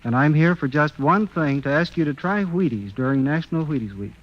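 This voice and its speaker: A man's voice: fairly high pitch, animated, brisk. A man with an American accent giving a folksy scripted testimonial on old radio. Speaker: musician endorsing a product. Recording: dull vintage radio recording.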